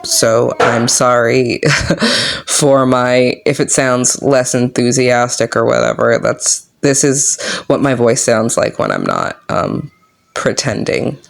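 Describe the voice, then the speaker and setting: A woman with a low, monotone voice chatting casually about her reading journal as she crafts, unhurried and full of "um" and "like". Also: creaky. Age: adult with young kids. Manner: unhurried, filler-heavy, lots of "um" and "like". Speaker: book journaling vlogger. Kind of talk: casual chat while crafting.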